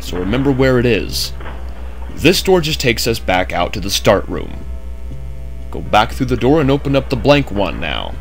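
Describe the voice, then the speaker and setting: A man narrating a game walkthrough in a chatty way with jokey asides, just woken up. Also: lowish voice. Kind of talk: casual gameplay commentary.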